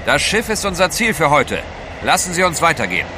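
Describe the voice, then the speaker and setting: Gentleman explorer, courteous and resolute, lively pitch swings, audio drama with noisy ambience. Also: a little fast. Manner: polite, decisive. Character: determined, composed gentleman adventurer leading a polar expedition. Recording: clear outdoor background noise.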